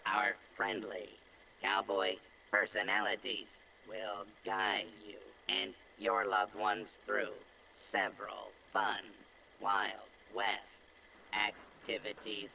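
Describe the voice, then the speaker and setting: A man voicing a folksy robot cowboy sheriff in a video game, with a halting, programmed delivery. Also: lowish voice, completely flat delivery, gravelly, bright, forceful tone, slight background noise, full character voice, rough recording. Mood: flat, mechanical. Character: folksy robot cowboy sheriff greeting theme park visitors. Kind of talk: scripted video game character dialogue.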